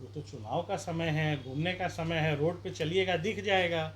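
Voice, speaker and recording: A man's voice: fairly high pitch, normal speed, a crowd in the background. Combative man pressing his case outdoors. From Bihar, India. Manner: combative, rhetorical questions, campaign-style appeals. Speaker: independent election candidate. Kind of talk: street interview.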